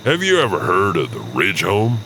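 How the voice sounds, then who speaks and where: A man's voice: low-pitched, wildly swooping pitch, normal speed, pushed and bright, slight background noise, heavily acted. A man playing a folksy, wry, teasing narrator of ghost stories. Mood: wry, ominous. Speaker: narrator and storyteller. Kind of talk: spooky anthology narration.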